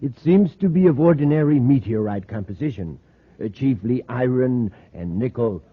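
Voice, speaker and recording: An actor playing an eager, fussy meteorite professor, polite and hesitant, in 1950s radio drama style. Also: male voice, mid-range voice, animated, normal speed, slightly rough. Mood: scholarly. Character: eager, excitable male professor, a meteorite expert. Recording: tinny lo-fi vintage radio recording.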